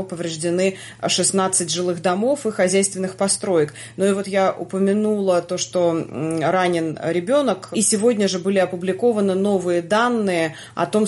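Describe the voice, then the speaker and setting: A woman with a fairly low voice hosts a news podcast. She reads through a daily roundup in a measured, even delivery. Now and then she pauses on a drawn-out filler sound. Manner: measured, with frequent hesitation sounds. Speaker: podcast host. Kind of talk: news podcast chat and news summary.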